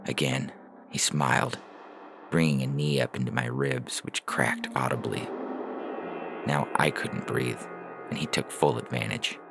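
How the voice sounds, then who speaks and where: A man with a gravelly voice reading a scripted first-person story as narration. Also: a bass voice, fairly even intonation, a little slow, pauses often. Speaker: fiction podcast reader and announcer. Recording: music bed behind the voice.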